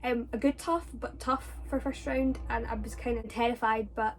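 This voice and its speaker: A young woman with a Scottish accent, her voice sitting a little high. She talks casually through viewers' questions, rambling with many fillers and restarts. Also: steady tone, moderate pace, a little hiss or hum. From Scotland. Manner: chatty, with frequent fillers and self-corrections. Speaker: drama student vlogger.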